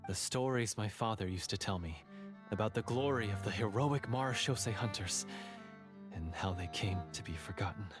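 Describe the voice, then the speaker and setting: A man's voice: on the low side, normal speed, over music, natural voice. A man voicing a guilt-torn young knight. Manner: hesitant, remorseful, stammering. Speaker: game character. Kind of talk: game cutscene dialogue.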